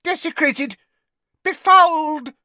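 Stern elderly librarian, shrieking and hissing, read by a male narrator, very high and close. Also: slow pace, sharp tone, no room sound, heavily acted. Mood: outraged. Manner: shrill and hissing. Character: stern old woman librarian, shrill, outraged.

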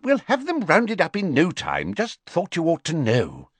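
A man voicing a flustered, fretful male wizard minister who talks in a brisk, bluffing, airy way, in an audiobook. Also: on the high side, wildly swooping pitch, slightly rough, no room sound, voice pushed up, exaggerated voice. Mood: hurried. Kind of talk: audiobook character voice.

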